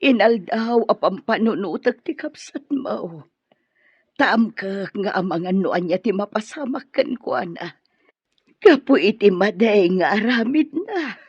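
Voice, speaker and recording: Slow, breathy, sorrowful Filipino mother. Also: mid-range voice, lively intonation, a little mellow, full character voice. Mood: anxious, sorrowful. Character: worried, sorrowful, pious Filipino mother of a wayward son. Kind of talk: Ilocano radio drama acting. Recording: muffled, roomy radio drama audio.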